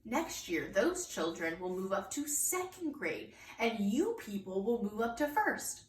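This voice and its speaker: A woman voicing a patient, polite kindergarten teacher from a storybook, explaining things gently. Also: mid-range voice, big pitch swings, measured pace. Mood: patient insistence. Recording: echoey room, distant mic, faint noise.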